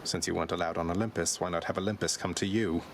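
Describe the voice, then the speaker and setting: An actor playing a wry, irreverent young god and rebellious son in a video game, dry and politely understated. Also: male voice, low-pitched, fairly even intonation, quick pace, background noise. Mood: wry, challenging. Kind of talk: video game dialogue acting.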